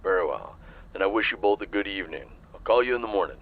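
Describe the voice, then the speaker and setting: A man playing a calm, businesslike police chief in an audio roleplay, heard through a phone filter. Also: low voice, quick pace. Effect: phone call filter. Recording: tinny phone line.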